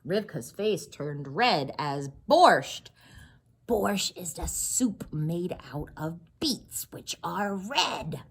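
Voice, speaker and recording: A woman with a husky voice reading a children's story aloud expressively, pausing to explain and ask questions. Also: fairly low pitch. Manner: expressive, explains and asks questions to young listeners. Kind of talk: animated read-aloud of a children's story with asides.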